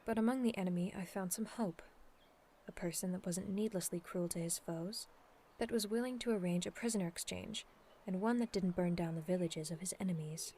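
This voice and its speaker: A woman's voice: mid-range voice, a little mellow, close to an everyday voice. Dignified demon princess, acted by a woman, over ambient noise. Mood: sincere, pleading. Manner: formal, measured, persuasive. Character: dignified, earnest demon princess pleading for her people. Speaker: voice actor in an audio roleplay. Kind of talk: scripted ASMR roleplay acting, addressed to the listener.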